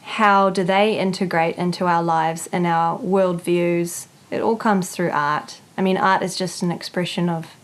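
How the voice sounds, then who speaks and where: A woman answering interview questions thoughtfully, pausing to search for words. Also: fairly low pitch, level tone.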